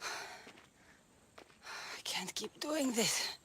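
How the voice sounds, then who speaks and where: A woman's voice: on the high side, expressive, very slow, pushed and bright, a little hiss or hum, full character voice. A woman voicing a tough, sardonic young Greek mercenary in a video game, speaking with a Greek accent and a dry, defiant manner. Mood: wry, weary. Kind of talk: video game cinematic acting. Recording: music bed under dialogue.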